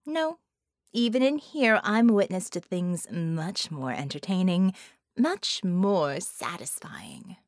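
A woman's voice: lowish voice, sing-song, slow pace, dry recording, studio quality, heavily acted. A woman voicing a haughty, cunning, bored villainess in a cage, with taunting, formal, theatrical phrasing, for an audiobook. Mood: haughty, scornful.

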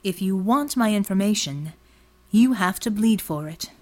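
A woman voicing a cryptic, demanding informant in an audiobook, delicate and breathy, speaking in a carefully neutral, measured way. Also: mid-range voice, animated, dark tone, natural voice. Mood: coolly neutral. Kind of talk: audiobook character dialogue.

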